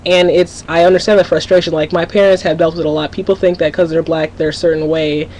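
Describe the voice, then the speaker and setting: A woman's voice: sits low, flat delivery, fast talker, a little mellow, a little hiss or hum, low-quality recording. A teenage girl from Minnesota talking candidly to her webcam at home in a rambling vlog, often restarting her sentences. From Minnesota, USA. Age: teens. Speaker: vlogger. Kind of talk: casual confessional webcam vlog.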